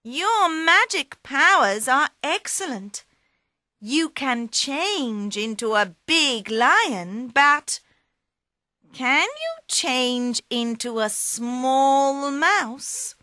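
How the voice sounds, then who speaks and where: A woman voicing a clever male talking cat who coaxes and flatters in a high, excited voice. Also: extremely slow, dry recording, clean studio sound, full character voice. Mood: sly.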